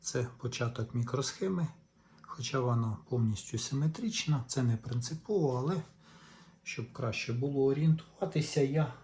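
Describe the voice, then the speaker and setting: Mellow-voiced man giving instructions. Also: mid-range voice, normal speed, a little hiss or hum. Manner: methodical, explains in short, piecemeal phrases. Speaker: repair tutorial host. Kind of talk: unscripted step-by-step hands-on instruction.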